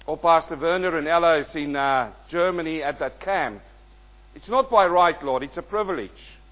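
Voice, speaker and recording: A man's voice: on the high side, normal speed. Dull-recorded preacher quizzing his congregation. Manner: calls out questions to the congregation; swells loud in prayer, drops soft at amens. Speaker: guest preacher. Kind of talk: sermon with prayer.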